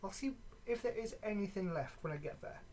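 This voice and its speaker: A gaming video creator with a medium-pitched voice talks in a casual, off-the-cuff way. The delivery is halting and conversational, as in a show-and-tell vlog. There is some noise behind the voice. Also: expressive, a little mellow. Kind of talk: casual vlog chat showing items. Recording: clearly noisy background.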